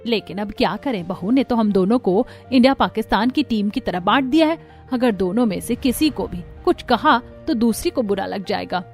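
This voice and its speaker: A woman's voice: medium pitch, lively intonation, normal speed, a little mellow, faint music behind, lightly acted. A woman voicing the competitive, fretful women of a squabbling family in a cartoon. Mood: worried. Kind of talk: cartoon voice acting.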